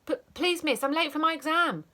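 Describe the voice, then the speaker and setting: A woman voicing a timid young schoolchild who pleads in a high, whiny voice. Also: normal speed, pushed and bright. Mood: pleading.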